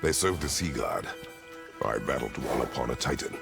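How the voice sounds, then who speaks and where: Casting: stoic game warrior dad, rumbling bass with heavy gravel, terse and weary, music behind. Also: male voice, animated, moderate pace, heavily acted. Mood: grim, guarded. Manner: terse, clipped sentences. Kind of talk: dramatic video game acting.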